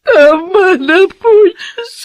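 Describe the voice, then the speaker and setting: A woman's voice: very high voice, lively intonation, full character voice. A woman playing a tearful, tender, ailing mother in a radio drama. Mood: pained, pleading. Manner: tearful, tender, drawn-out delivery. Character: ailing, loving mother in pain, tearful, weary. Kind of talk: Filipino radio drama acting.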